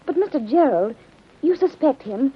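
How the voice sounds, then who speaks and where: A woman's voice: high-pitched, expressive, normal speed. A woman playing an earnest, questioning young lady who assists a detective in a 1930s radio drama. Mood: earnest, concerned. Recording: muffled 1930s radio recording.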